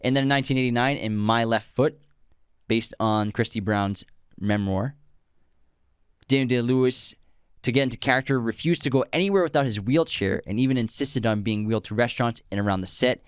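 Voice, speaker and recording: Smooth-voiced man chatting about films. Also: mid-range voice, fairly quick, no room sound, clean studio sound. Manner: chatty, reads out film trivia. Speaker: podcast co-host. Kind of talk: casual film chat.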